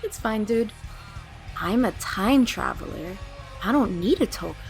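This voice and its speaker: Woman as lively, breezy young party-goer. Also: mid-range voice, unhurried, soft background music. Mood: breezy, teasing. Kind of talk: audio drama acting.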